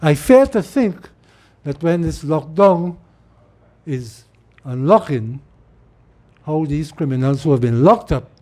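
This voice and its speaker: High-voiced, mellow middle-aged Trinidadian man. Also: animated, slow pace, long pauses. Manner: rambling, repeats words as he restarts. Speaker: political speaker. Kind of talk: informal monologue.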